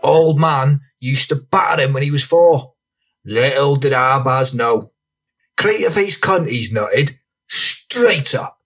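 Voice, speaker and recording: A man's voice: mid-range voice, lively intonation, takes it slowly, a bit bright, slightly rough, pitched above the performer's own voice, heavily acted. A man voicing a scruffy, raging, boastful ex-con lout who rants in sweary slang for an audio story. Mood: fuming, ranting.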